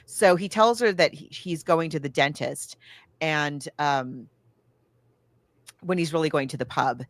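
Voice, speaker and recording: A woman with a slightly low voice talks in an effusive, casual way, like a podcast host sharing a review. The recording is close and dry, with no room sound. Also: measured pace, no echo. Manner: enthusiastic, effusive.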